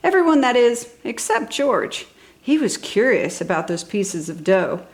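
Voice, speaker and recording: A woman's voice: a little high, very animated, a little slow. A woman reading a children's storybook with enthusiastic, exaggerated emphasis for young listeners. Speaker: storybook reader for a toddler channel. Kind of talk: expressive scripted children's story narration.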